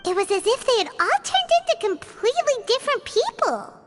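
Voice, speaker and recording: A woman voicing a small, excitable, blunt fairy-like girl companion in a video game, squeaky and childlike, given to exclamations. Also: fairly quick. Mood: puzzled. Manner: speaks of self in third person, exclamatory. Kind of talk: video game character acting. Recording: music behind the voice. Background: game music.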